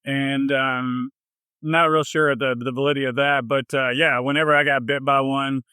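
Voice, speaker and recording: A man with a Southern US accent telling his personal story in a casual interview, informal and a little halting in his phrasing. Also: medium pitch, little pitch movement, sharp tone, clean tone, dry recording, studio quality. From southern United States. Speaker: health coach, podcast guest.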